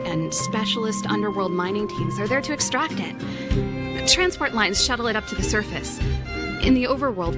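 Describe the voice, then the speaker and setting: Actress as friendly workshop mechanic, young woman, easygoing, breezy explainer, video game dialogue, loud music underneath. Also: mid-range voice, natural voice.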